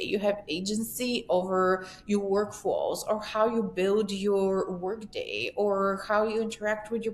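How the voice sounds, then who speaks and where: Woman chatting over noticeable rumbly noise. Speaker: podcast guest, communications executive. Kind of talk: casual interview conversation.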